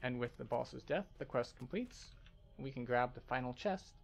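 A male game guide with a gravelly, fry-edged voice at an ordinary pitch. He gives playthrough commentary, with faint noise and game ambience behind him. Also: animated, moderate pace. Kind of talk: gameplay commentary and reading quest text aloud.

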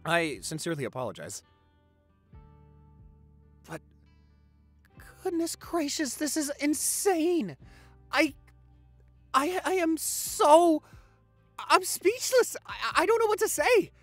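Man acting an affectionate, very expressive vampire boyfriend. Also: very high-pitched, slow, pauses often, faint music behind, full character voice. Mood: overwhelmed, grateful. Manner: gushing, stammering, repeats himself. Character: affectionate, excitable young male vampire boyfriend. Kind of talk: intimate audio roleplay acting.

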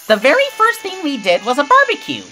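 Bright, very animated storybook narrator. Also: high voice, brisk. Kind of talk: scripted read-along story narration. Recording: music behind the voice. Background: music.

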